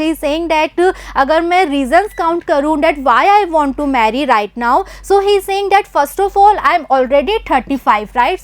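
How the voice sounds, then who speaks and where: A young woman teaches an English play, her voice high and her overall pace unhurried. She reads each line slowly and then explains it quickly, switching between Hindi and English. The sound is dry and close, with no room around it. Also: dry recording.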